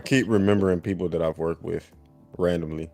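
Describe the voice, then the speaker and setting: Chill guy with a real low mellow voice, dry jokes, on a home mic call. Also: fairly even intonation, fairly quick, faint music behind. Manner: laid-back, wry, joking. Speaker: guest YouTuber. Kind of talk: casual chat.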